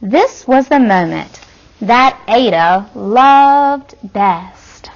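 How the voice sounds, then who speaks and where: A woman reading a rhyming children's picture book aloud in an expressive, deliberate, dramatic storytelling manner. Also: on the high side, muffled recording, slight background noise. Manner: animated, deliberate, dramatic phrasing.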